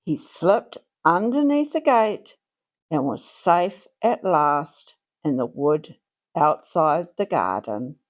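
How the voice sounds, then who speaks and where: A woman reading a children's storybook aloud in an expressive, deliberate way, drawing out her phrases for young listeners. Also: deep voice. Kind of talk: read-aloud storybook narration.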